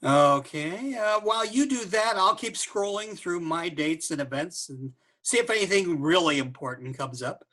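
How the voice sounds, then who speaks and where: An actor playing an informal, energetic event planner and old pal in a comedy radio play. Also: male voice, sits high, normal speed, few pauses, exaggerated voice. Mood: breezy, wry. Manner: casual, energetic.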